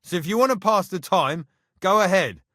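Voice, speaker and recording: Medieval game blacksmith, blunt, sardonic, exasperated, lively swooping intonation, dry studio sound. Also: male voice, high-pitched, moderate pace, dry recording. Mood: instructing, wry. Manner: blunt, sardonic, exasperated, rough colloquial phrasing. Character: gruff, grumbling, mocking medieval castle blacksmith, male. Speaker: blacksmith character in a video game. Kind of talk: video game character dialogue.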